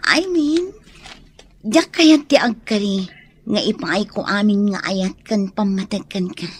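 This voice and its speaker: A woman's voice: mid-range voice, unhurried, breathy edge. An actress playing an emotional young woman in love in a radio drama, with an Ilocano accent. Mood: tender, uncertain. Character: young woman in love, wary after heartbreak.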